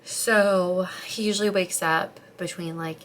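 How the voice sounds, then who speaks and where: A young woman vlogging as a stay-at-home mom, chatting casually and rambling with lots of "like", her voice slightly hoarse and congested after being sick, sometimes dropping to a soft near-whisper. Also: lowish voice, steady tone, a little slow, a little hiss or hum. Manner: chatty, rambling, heavy use of "like". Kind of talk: casual chat to camera. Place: home, getting ready.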